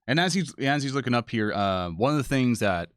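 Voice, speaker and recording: A man's voice: medium pitch, expressive, a little fast, no room sound, clean studio sound. A man co-hosting a live stream, chatting casually and addressing the viewers as he goes. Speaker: co-host and social media producer of a live stream.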